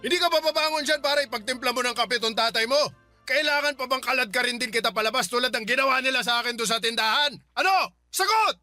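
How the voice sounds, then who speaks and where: A man's voice: very high-pitched, fast talker, pushed and bright, soft background music, exaggerated voice. An actor playing a hot-tempered, self-pitying and bullying Filipino father in a Tagalog radio drama. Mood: angry, menacing.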